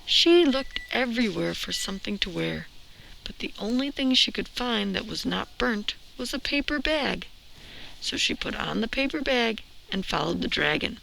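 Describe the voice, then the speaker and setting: A woman narrates a storybook for children in an expressive, measured way. Her voice is bright and very creaky, and she takes her time, with some background noise on the recording. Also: medium pitch, low-quality recording. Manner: deliberate, measured phrasing with emphasis. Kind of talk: expressive read-aloud narration.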